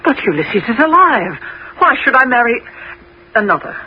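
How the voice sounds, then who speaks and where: A woman's voice: fairly high pitch, big pitch swings, moderate pace. An actress playing a distressed, noble queen longing for her husband in a 1970s radio drama, pleading and intense. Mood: desperate, pleading. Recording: muffled, hissy 1970s radio recording.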